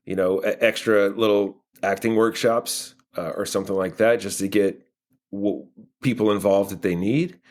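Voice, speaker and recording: Low-voiced American man, thinking aloud. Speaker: guest playwright and filmmaker. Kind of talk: casual interview answers.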